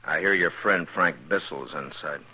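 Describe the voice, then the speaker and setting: An actor playing a calm, laconic frontier marshal who narrates and speaks in a measured, firm way, in a 1950s radio western. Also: male voice, very deep voice, breathy edge, heavily acted, low-quality recording.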